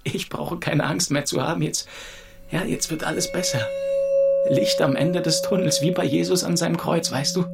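A man acting a bitter, shaken orphaned young man of twenty-two in audiobook dialogue, with a creaky voice. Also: on the high side, fairly even intonation, moderate pace, pitched above the performer's own voice. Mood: shaken, bitter relief.

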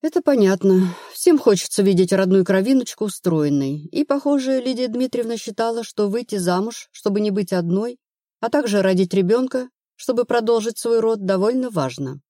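A woman narrator playing a brisk, rational female investigator in an audiobook, blunt and assured. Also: medium pitch, animated, dark tone, no echo, studio quality, natural voice. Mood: probing. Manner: matter-of-fact, assured.

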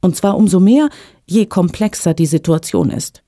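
A woman whose native language is Russian, reading her own magazine column aloud in a measured, clear way for language learners. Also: on the low side, dark tone, no room sound, clean studio sound.

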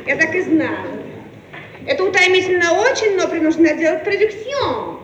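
High, affected, haughty lady. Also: big pitch swings, moderate pace. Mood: haughty, weary. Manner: affected, weary condescension, dropping foreign phrases. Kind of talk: theatrical radio comedy acting. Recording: echoey, distant, clearly noisy radio recording.

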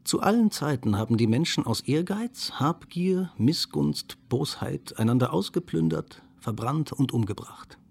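A man playing a reflective historian in a radio play, speaking in a measured, formal way. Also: fairly high pitch, expressive, creaky, a little mellow, breathy edge, heavily acted.

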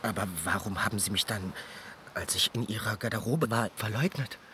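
An actor playing an earnest, jealous young suitor who speaks formally and urgently in a radio play. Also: male voice, on the low side, brisk, bright, forceful tone, a little hiss or hum. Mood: jealous, accusing.

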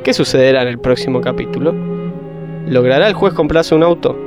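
A man's voice: medium pitch, brisk, over music, natural voice. Mock-solemn Argentine radio narrator played by a man. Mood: mock-solemn, suspenseful. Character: mock-solemn male serial narrator posing cliffhanger questions. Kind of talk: melodramatic radio serial narration. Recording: narration over musical score.

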